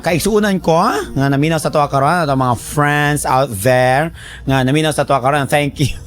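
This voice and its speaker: A man's voice: fairly high pitch, moderate pace. A man hosting a radio advice show in casual, chatty, exclamatory talk to listeners. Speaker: radio host giving advice on listener letters.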